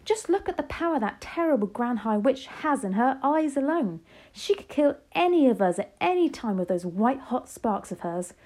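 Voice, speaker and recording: A woman reading aloud as an elderly grandmother, eager and commanding, with urgent, excited questions. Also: sits high, moderate pace, pitched above the performer's own voice. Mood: grave.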